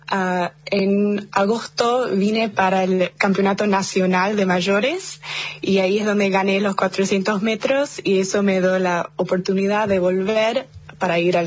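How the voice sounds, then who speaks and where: A young woman in her twenties, from Argentina but raised in the United States, speaks Spanish with an American tinge in an upbeat, halting way, often restarting her phrases during a phone interview. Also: medium pitch. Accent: Argentine Spanish with an American English tinge. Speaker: athlete guest. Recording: dull lo-fi phone line.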